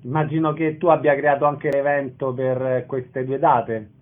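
A man's voice: mid-range voice, little pitch movement, measured pace. A man interviewing a guest in a conversational radio style. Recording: thin phone-like sound, heavy noise, clicks.

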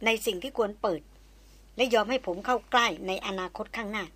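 A woman's voice: on the high side, a little fast, fairly bright tone. A woman voicing a persistent former army officer who speaks frankly and teases in a storybook reading. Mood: teasing. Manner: teasing, insistent, frank.

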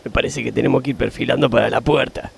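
A man's voice: mid-range voice, fairly even intonation, quick pace, gravelly, slightly rough, exaggerated voice. A man playing a shifty schemer ready to flee with his pal in a comic radio play. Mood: sneaky, alarmed.

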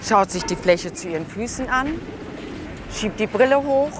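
A woman's voice: mid-range voice, expressive, moderate pace. A woman giving scripted observational narration in short, present-tense phrases. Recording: clear outdoor street and traffic noise.

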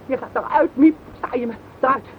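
A woman's voice: sits high, fairly quick, lightly acted. A bitter, jealous wife played by an actress lashes out in a radio play. Her Netherlands Dutch speech is scathing, sarcastic and full of accusation. The old recording is tinny, with faint noise. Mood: furious, scornful. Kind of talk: radio drama acting.

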